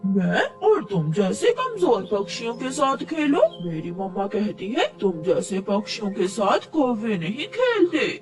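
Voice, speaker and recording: Spoiled bully crow boy played by a woman, cartoon. Slow, whiny, boastful delivery with big swings in pitch. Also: very animated, a little mellow, distant mic, faint music behind. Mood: smug, taunting.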